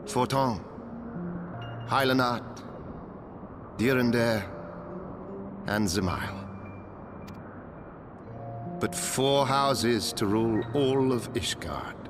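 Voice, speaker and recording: Slow, earnest noble knight. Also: male voice, mid-range voice, music in the background. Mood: somber, resolved. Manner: formal, dramatic, measured. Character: earnest, sorrowful noble knight, loyal to his lord. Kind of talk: archaic high-fantasy game acting.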